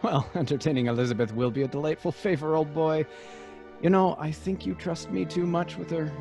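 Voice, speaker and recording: A man's voice: fairly high pitch, brisk, vocal fry, a little mellow. An actor playing a breezy, joking young male student with a wry, jaunty manner in an old-time radio drama. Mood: wry, teasing. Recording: music under the voice.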